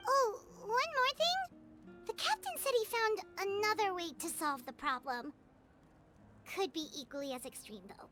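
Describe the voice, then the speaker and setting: A woman voicing a tiny, excitable, loyal fairy girl companion in a video game, squeaky and childlike. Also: unhurried. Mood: curious. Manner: refers to self in third person, exclamatory. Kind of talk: scripted video game voice acting. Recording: game audio with background music.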